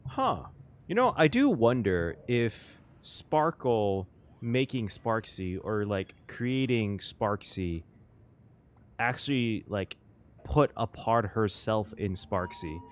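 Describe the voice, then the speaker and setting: Animated man reacting casually. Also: fairly low pitch, slow. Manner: animated, informal, swearing. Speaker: game reaction host.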